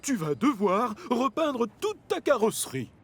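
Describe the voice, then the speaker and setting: A fussy male railway boss with a very high, swooping cartoon voice. He speaks slowly and emphatically, sounding dismayed. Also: big pitch swings, fairly bright tone, slight background noise, heavily acted. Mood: dismayed, insistent. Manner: emphatic, concerned. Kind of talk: French cartoon dub acting.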